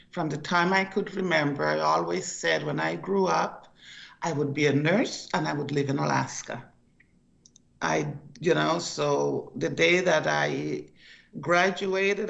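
A woman's voice: sits low, creaky, fairly bright tone, muffled recording. An older woman from Costa Rica, a guest author in an interview, who talks in a chatty, storytelling way and tends to repeat herself. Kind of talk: interview conversation.

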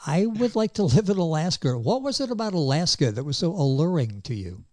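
A man's voice: on the high side, very animated, brisk, a little mellow, no echo. A man hosting an interview program, genial and upbeat with an announcer's delivery. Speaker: radio talk show host.

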